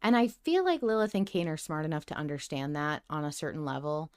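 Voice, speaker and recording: A woman's voice: deep voice, animated, fairly quick, darkish tone, clear, resonant tone. A woman co-hosting a casual podcast discussion, thinking aloud with emphatic speculation. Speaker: podcast co-host. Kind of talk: casual discussion and speculation about an audio drama.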